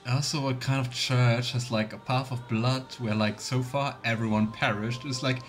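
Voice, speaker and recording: A man's voice: medium pitch, steady tone, moderate pace, faint music behind. A man giving casual, chatty let's play commentary with playful sarcasm. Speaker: livestreamer playing a video game.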